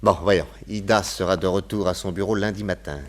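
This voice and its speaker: Actor as a low-voiced, self-assured master detective. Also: male voice, steady tone, fairly quick, lightly acted. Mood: businesslike. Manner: assured, explanatory, theatrical delivery. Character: shrewd, self-assured male detective planning his disguise. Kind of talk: French radio drama acting.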